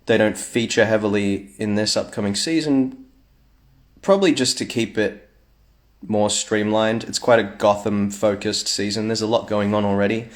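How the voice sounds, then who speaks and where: A young man chatting casually on a phone livestream at home, reading fan comments aloud with playful asides. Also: fairly low pitch.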